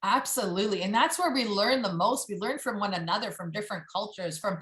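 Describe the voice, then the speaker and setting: A woman's voice: mid-range voice, animated, a little fast, a bit bright, reverberant. A woman moderating a panel discussion, upbeat and chatty with jokey asides.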